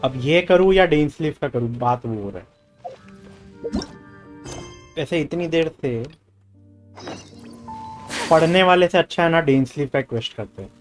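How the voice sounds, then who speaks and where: A man's voice: a little high, expressive, racing, pauses often. A man with an Indian accent, a native Hindi speaker, casually streaming a game and reading its text aloud. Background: game music.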